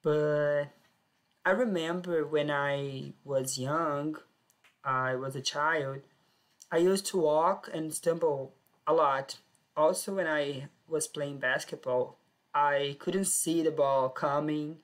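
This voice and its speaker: A woman telling her own life story in plain, simple sentences with short pauses. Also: low-pitched, fairly even intonation. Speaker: personal storyteller. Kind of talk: first-person life story.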